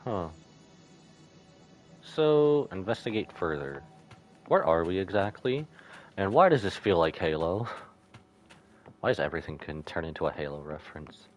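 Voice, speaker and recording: A man's voice: sits low, big pitch swings, normal speed, pauses often, muffled recording. A man livestreaming a story game, reacting casually and playfully with dramatic exclamations. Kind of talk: casual live gaming reaction commentary. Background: game music.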